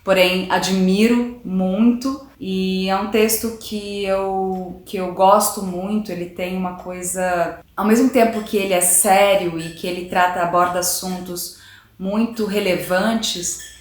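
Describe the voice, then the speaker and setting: Brief: female actress in an interview, eager and reflective, mid pitch, echoey room. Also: fairly even intonation, unhurried. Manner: enthusiastic, reflective, hesitant restarts. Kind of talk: interview talk.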